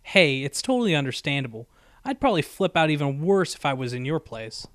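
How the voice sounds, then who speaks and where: A man's voice: fairly high pitch, sing-song, a little fast, a bit bright, dry recording, studio quality. A man voicing a brash but easily sheepish young female pegasus pony in an audiobook, with a stammering, eager manner. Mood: eager, upbeat. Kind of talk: acted audiobook dialogue.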